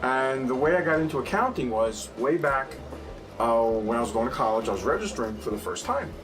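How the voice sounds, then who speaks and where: A middle-aged man with a New York accent talks casually as a guest, full of wisecracks and asides to the viewers. His voice sits at an ordinary pitch, with a little music playing softly behind. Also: animated, brisk. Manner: joking, playful asides to an imagined audience. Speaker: guest, accountant. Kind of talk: casual interview chat.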